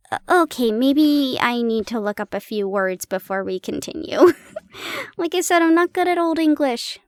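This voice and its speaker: A woman's voice: high-pitched, no room sound, clean studio sound. Playful giggly young woman. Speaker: roleplay partner reading to the listener. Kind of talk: intimate audio roleplay chat.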